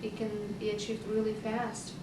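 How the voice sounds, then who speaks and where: A woman's voice: mid-range voice, completely flat delivery, normal speed. A woman from Russia with a Russian accent, a hypnotist answering interview questions thoughtfully with hesitant fillers. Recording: echoey room, far from the mic, noisy.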